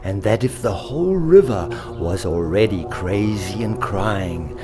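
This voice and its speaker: A man with a fairly low voice tells a children's story. He speaks very slowly and theatrically, savoring the wordplay, with highly animated intonation. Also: over music. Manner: theatrical, lingering on alliterative words. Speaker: author and storyteller. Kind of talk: expressive children's story narration.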